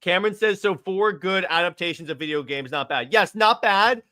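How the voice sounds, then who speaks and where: A man with a high, forceful voice in a casual discussion show. He speaks as an opinionated co-host and leans hard on his points, often saying them twice. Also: moderate pace. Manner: emphatic, opinionated, repeats himself. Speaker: podcast co-host. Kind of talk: casual pop culture discussion.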